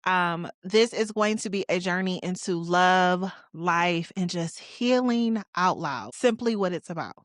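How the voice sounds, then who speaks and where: A woman's voice: medium pitch, fairly bright tone, dry recording, clean studio sound. A woman of forty, hosting her own podcast and talking through her life in a candid, reflective, conversational way with casual slang. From Detroit, USA. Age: 40s. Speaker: podcast host. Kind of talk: personal solo podcast monologue.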